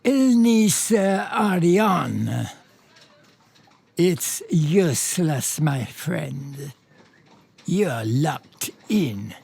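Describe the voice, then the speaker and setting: Commanding, insistent man, animated and measured. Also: a little high, creaky, a little hiss or hum, exaggerated voice. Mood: menacing. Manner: insistent, formal. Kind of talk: radio drama acting.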